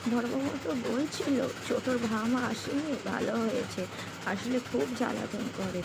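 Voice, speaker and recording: Woman playing a jealous older mother-in-law, sly, high-pitched, gravelly, nagging, dramatic cartoon dub, very noisy recording. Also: normal speed. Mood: sly, needling. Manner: insinuating, grumbling, tale-bearing. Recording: very noisy background.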